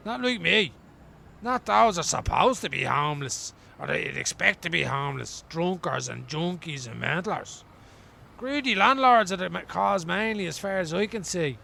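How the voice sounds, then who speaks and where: An actor in a radio play playing a weary, wry homeless man from Dublin, rambling colloquially in a gravelly voice. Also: high voice, pushed and bright, full character voice. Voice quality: gravelly, creaky. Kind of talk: radio play acting as an interviewee.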